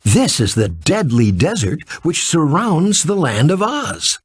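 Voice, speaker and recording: A man's voice: on the high side, huge pitch swings, takes it slowly, husky, no echo, clean studio sound, pitched above the performer's own voice, exaggerated voice. A man voicing an earnest, polite little girl in a children's storybook, exclaiming and sincere. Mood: concerned.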